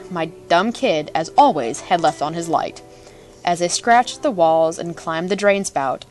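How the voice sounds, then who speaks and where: Female storybook narrator, slightly low register, theatrical and playful, soft music bed.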